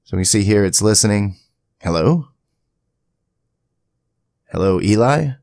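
A man giving a casual software tutorial in a conversational way, with chatty asides, as the creator of the video. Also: fairly low pitch, expressive, unhurried, vocal fry, no room sound. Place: indoors at a home PC, voice-over to screen capture.

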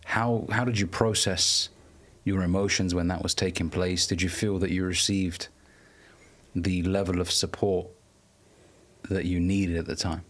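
A man hosting a conversational podcast interview, speaking in an earnest, measured manner with some hesitant phrasing. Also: low voice, steady tone. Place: podcast studio.

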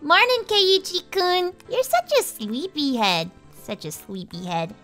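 Woman playing a teenage anime girl, bright, sing-song, teasing, soft music behind. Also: sits high, huge pitch swings, a little slow, heavily acted. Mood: cheerful, teasing. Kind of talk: scripted visual novel dub.

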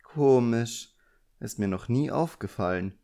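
Casting: fussy, gossipy magician's wife of middle age, voiced by a man, higher-pitched and caring, for a storybook reading. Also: animated, a little slow, gravelly, mellow. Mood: suspicious.

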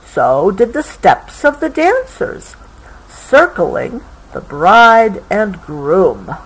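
A woman reads a children's picture book aloud. Her pitch moves in animated swings while the pace stays leisurely. She is patient and stops to clarify words as a parent would. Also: husky, rough recording. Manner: patient, explanatory, pausing to clarify. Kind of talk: expressive storybook read-aloud with explanatory asides.

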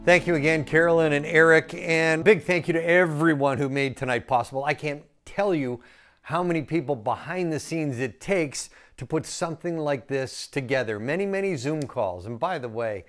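A man in his fifties giving an informal thank-you address, conversational and earnest, pausing as he finds his words. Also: on the high side, fairly bright tone, faint music behind. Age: 50s. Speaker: gala speaker. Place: indoor room. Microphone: lapel.

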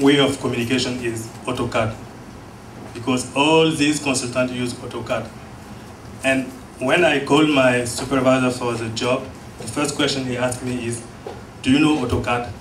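A man in his thirties, an engineer on a panel with a handheld mic, speaking plainly with halting restarts. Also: mid-range voice. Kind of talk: panel discussion. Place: classroom panel table. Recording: distant echoey room with noise.

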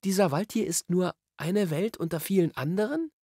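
Man putting on a high, cautious little girl. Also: normal speed, mellow, husky, no echo, clean studio sound. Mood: questioning. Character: cautious, sensible young girl, questioning and worried.

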